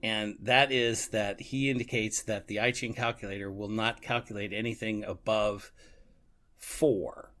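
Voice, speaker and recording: A man's voice: fairly low pitch, fairly even intonation, unhurried, sharp tone. A man co-hosting a podcast, casually reflecting and thinking aloud with a chuckly manner. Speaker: podcast co-host. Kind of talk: casual book and radio drama discussion.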